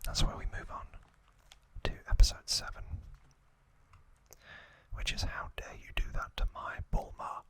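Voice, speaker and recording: A man whispering an ASMR recap as host, in short, deliberate phrases with pauses between them. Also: bright, forceful tone, no echo.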